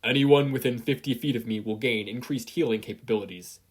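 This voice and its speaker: A podfic reader voicing an eager, excitable teenage boy who is training to be a hero. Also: mid-range voice, brisk, husky, close to an everyday voice. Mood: commanding, urgent.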